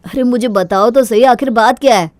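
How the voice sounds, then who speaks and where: A man's voice: very high-pitched, moderate pace, dry recording. An actor plays a young husband in a cartoon moral tale. He is a caring, dutiful man with an earnest, concerned delivery. The recording is close and dry. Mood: puzzled. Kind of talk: Hindi cartoon moral story acting.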